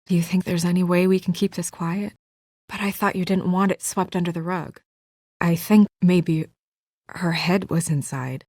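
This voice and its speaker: A serious young female official in a video game, her voice on the low side and recorded very dry. She is businesslike but anxious, earnest and guarded, and grows hesitant under stress. The delivery stays naturalistic. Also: steady tone, moderate pace, a little mellow, no echo. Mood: anxious, urgent.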